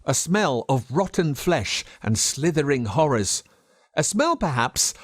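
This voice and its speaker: An Australian man reading a story aloud as a dramatic narrator, expressive and measured with telling pauses. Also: fairly high pitch, dry recording, studio quality. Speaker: audiobook narrator. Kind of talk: dramatic scripted storytelling in first person.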